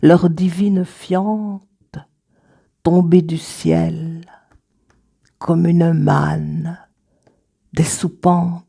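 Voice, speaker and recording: A woman reading her own poems aloud in a measured, deliberate way, with dramatic pauses between short phrases. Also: on the low side, level tone, gravelly, mellow. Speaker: poet reading own work. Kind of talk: slow recited poetry reading.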